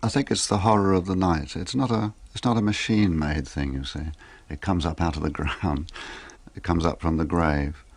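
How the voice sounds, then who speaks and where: A man, a biographer, reminiscing in a relaxed interview. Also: low-pitched, moderate pace, no room sound.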